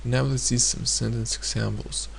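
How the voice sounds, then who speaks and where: A man teaching a grammar lesson in a hesitant way, pausing often and repeating each example sentence. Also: medium pitch, steady tone, gravelly, a little hiss or hum. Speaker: language teacher.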